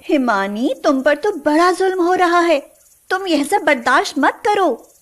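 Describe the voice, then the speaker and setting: A woman's voice: very high voice, lively intonation, unhurried, a bit bright, soft background music, exaggerated voice. A woman voicing a friendly, concerned young friend in a cartoon story, chatty and sympathetic. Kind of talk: cartoon moral-story voice acting.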